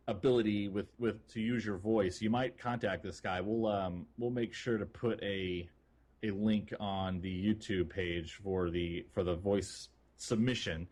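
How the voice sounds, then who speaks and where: A man co-hosting a gaming podcast, chatting in a loose, informal and halting way. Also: lowish voice, darkish tone. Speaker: podcast co-host. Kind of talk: casual gaming podcast chat.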